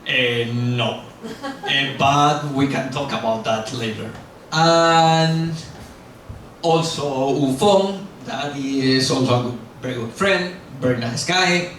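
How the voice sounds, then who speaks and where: A man's voice: mid-range voice, slow, a bit bright. A middle-aged man, not a native English speaker, giving a loose, rambling university talk full of fillers and self-corrections, blunt and self-mocking. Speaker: guest speaker at a university lecture. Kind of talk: casual lecture talk with Q&A. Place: large echoey lecture hall. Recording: very echoey hall, distant mic, faint hiss.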